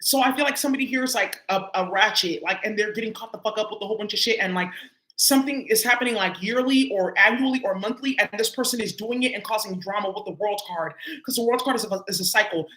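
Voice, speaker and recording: Casting a woman tarot reader, quick and sharp-toned, slangy and profane, recorded in an echoey room. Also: mid-range voice. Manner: loose, profane, heavy with filler words. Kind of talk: casual tarot reading to viewers.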